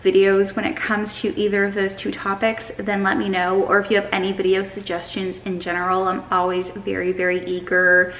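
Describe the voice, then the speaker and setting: A woman in her twenties chatting casually to the camera in her home kitchen, calm and friendly, recorded on a handheld camera. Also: mid-range voice, fairly quick, few pauses, reverberant, a little hiss or hum, poor audio. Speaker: vlogger. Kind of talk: casual food vlog chat. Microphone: camera held at arm's length.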